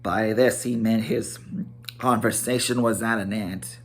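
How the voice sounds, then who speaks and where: A man narrating a fantasy tale as a dramatic amateur reading, halting now and then to repeat or correct a phrase. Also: on the low side, fairly even intonation. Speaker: amateur storybook reader.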